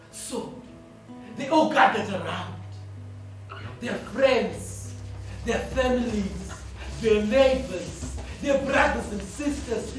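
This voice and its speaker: A woman narrating a scripted fable theatrically as a stage storyteller, deliberate and dramatic, with repetition and long pauses. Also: sits high, far from the mic. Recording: echoey stage recording from afar, music behind.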